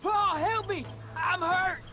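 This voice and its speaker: A man's voice: very high-pitched, slow pace, loud music behind the voice. An actor playing an injured, defiant teenage farm boy in a Western game, strained with pain and weakness. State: in pain, weak. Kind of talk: dramatic game cutscene acting.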